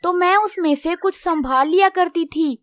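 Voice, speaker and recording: A small, sensible female cartoon bird , played by a woman, with a very high voice. She talks gently and reassuringly, brave and grateful in temper. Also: moderate pace, dry recording, clean studio sound. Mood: gentle, hopeful. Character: wise, brave, grateful little female tailorbird. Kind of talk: Hindi children's cartoon dialogue.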